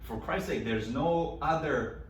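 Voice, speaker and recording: A man's voice: fairly high pitch, unhurried. A man with a foreign accent, co-hosting a panel discussion and often stumbling and repeating words. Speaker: co-host. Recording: very echoey room from far off, faint noise.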